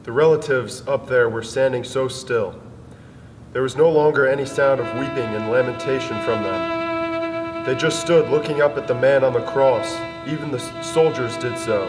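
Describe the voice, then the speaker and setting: A man's voice: mid-range voice, normal speed. A man giving a solemn, dramatic narration of a literary story. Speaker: dramatic reader. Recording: distant, long reverb, music behind.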